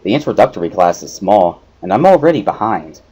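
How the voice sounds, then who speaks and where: Man reading a story, lively intonation. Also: fairly low pitch, brisk, slightly rough, poor audio. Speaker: narrator of a let's play series. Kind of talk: dramatic reading of visual novel, first-person narration. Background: background music.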